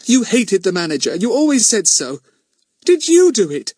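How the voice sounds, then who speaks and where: A man's voice: high-pitched, very animated, normal speed, darkish tone, no echo, clean studio sound, voice pushed up. A man voicing a distraught, indignant young woman in a storybook narration. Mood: desperate pleading.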